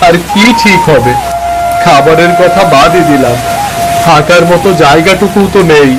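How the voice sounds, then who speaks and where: High-pitched, weary village husband, played by a man. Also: normal speed, lightly acted, low-quality recording. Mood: despairing, tired. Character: poor, weary, defeated village husband and father. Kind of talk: animated folk tale dialogue. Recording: music loud behind the voice.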